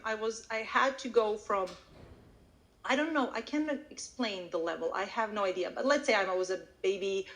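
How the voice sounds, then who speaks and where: A woman with a fairly high voice talks casually at a live event. She is chatty and animated, often breaking into her own sentences as she tells her story. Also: low-quality recording. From Croatia. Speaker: language teacher.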